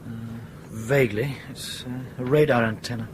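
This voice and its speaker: A man's voice: mid-range voice, fairly even intonation, normal speed, a little hiss or hum, close to an everyday voice. A man playing a polite but insistent, frustrated airline captain in a radio drama, sceptical and questioning. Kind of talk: broadcast radio drama acting.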